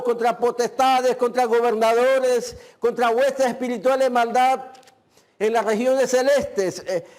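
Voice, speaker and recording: A man preaching a sermon as pastor, delivering slow, emphatic phrases and often saying "este" between them. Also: extremely high pitch. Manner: uses the filler "este".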